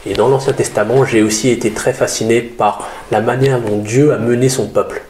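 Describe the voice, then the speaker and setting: A man's voice: medium pitch, fairly even intonation, fairly quick, a little mellow. A man in his thirties from the Paris region, a guest telling his faith testimony in an earnest, explanatory way. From Paris region, France. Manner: earnest, explanatory, with many "euh". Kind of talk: interview testimony. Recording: roomy sound with faint background noise.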